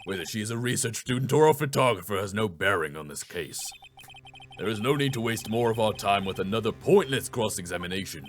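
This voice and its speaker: A man's voice: lowish voice, animated, a little fast, soft background music, close to an everyday voice. A man voicing an arrogant, condescending prosecutor in a video game, with a clipped, sneering delivery.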